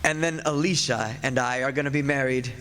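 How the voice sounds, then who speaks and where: An actor playing a hapless, henpecked, centuries-old husband and professor in an old-time radio play, confiding in the listener and easily flustered. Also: male voice, medium pitch, fairly even intonation, a little fast, fairly bright tone, slight background noise, close to an everyday voice. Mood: resolved, nervous. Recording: stage radio play with music.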